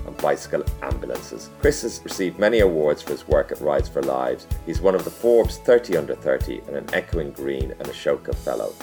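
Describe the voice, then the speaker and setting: A man's voice: rumbling low, darkish tone. A man hosting an interview, reading a formal guest introduction and asking questions politely. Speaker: interview host.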